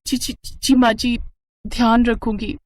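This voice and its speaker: A woman's voice: a little high, flat delivery, measured pace. A woman voicing a timid, obedient young daughter-in-law who speaks hesitantly and deferentially in a moral story cartoon.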